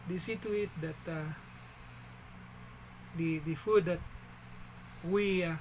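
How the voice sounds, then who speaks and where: A man with a Filipino accent telling a story in a casual vlog, halting, with frequent restarts and fillers. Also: a little high, a little mellow, slight background noise. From Philippines.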